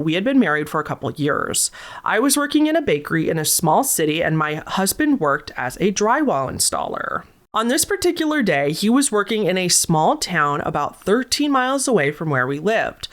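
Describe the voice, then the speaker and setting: Lively, soothing woman storyteller. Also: lowish voice. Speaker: storytelling channel host. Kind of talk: reading a viewer's scary story aloud.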